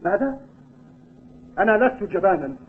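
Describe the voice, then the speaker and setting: An upright young team captain in a cartoon, with a high, earnest voice. He speaks firmly, and the audio is thin and phone-like. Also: sing-song, normal speed. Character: principled, earnest male school football team captain. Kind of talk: Arabic cartoon dubbing. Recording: tinny, phone-like old TV dub audio.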